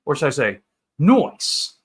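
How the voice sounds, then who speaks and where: A man's voice: fairly high pitch, big pitch swings, slow pace, a bit bright, slightly rough. A thirty-year-old man hosting a casual livestream, rambling along in upbeat banter as he chats with viewers. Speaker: livestream host. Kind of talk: casual livestream chat, reading and answering viewer comments.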